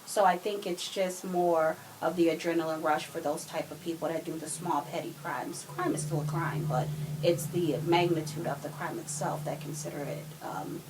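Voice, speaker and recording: Lower-voiced woman chatting over audible hiss. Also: steady tone, normal speed. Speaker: podcast guest. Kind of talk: casual chat, giving opinions.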